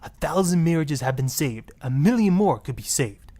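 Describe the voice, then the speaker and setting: Radio comedy guest: preachy, pompous Latin-accented marriage guru, swooping pitch, easily offended. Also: male voice, lively intonation, normal speed, mellow. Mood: grandiose. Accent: put-on Latin. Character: flamboyant self-righteous fake-Latin marriage guru and pimp. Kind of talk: parody radio talk-show guest.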